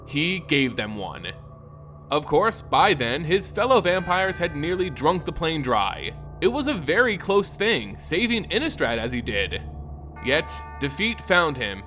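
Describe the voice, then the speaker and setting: A man with a fairly high, very animated voice narrates a dramatic tale. His phrasing is theatrical and measured, and light music plays underneath. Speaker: lore channel narrator. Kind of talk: dramatic scripted story narration. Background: background music.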